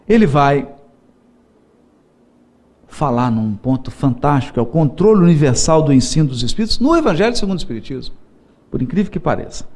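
Animated man giving a religious lecture. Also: fairly high pitch, fairly quick, long pauses. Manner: animated, humorous, repeats words for emphasis. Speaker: Spiritist lecturer.

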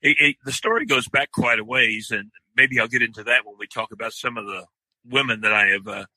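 Deep-voiced older man, halting. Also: lively intonation, no echo, poor audio. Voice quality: deep, loud voice. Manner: slow, halting, many fillers and restarts. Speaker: guest, outdoor writer. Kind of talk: podcast interview.

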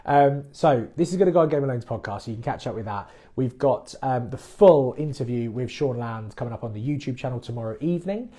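A man talks through listener questions on his podcast in a relaxed, chatty manner, explaining things plainly. His voice is warm and mellow, pitched in an ordinary middle range. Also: brisk, few pauses. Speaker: mortgage broker and podcast host. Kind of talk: casual live Q&A podcast. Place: based in the Midlands.

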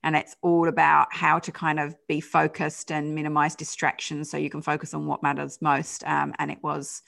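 A middle-aged woman with a British accent speaks as an interview guest. Her voice sits low, with a gravelly, creaky edge. She talks in a relaxed, chatty way, full of ums and mid-sentence corrections. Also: normal speed. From United Kingdom. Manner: conversational, lots of fillers and self-corrections. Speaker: guest, change management consultant. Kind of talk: podcast interview.

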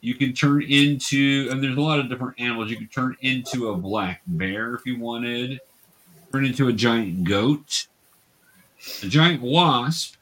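A man's voice: medium pitch, unhurried, a bit bright. A man speaking as a patient game master, casually explaining and narrating a tabletop game for young players over an online call. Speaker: game master teaching children tabletop roleplaying.

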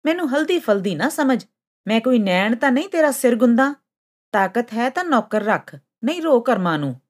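A woman's voice: on the high side, sing-song, moderate pace, voice pushed up. A woman voicing a haughty, hot-tempered young female ginger-root character in a folk tale, scolding and boasting indignantly. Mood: scornful anger.

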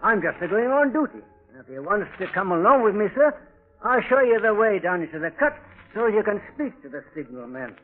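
An actor playing a talkative, deferential working-class British railway track walker in a scratchy 1930s radio drama. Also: male voice, high voice, very animated, brisk. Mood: obliging, chatty. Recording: scratchy, tinny, noisy 1930s radio recording.

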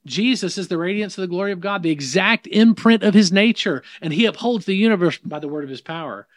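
Middle-aged Southern man, minister and guest; emphatic preacher's delivery, fairly high voice, dry sound. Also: animated, fast, dry recording. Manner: emphatic, preacherly, frequent restarts. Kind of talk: casual interview conversation. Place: in person, New York.

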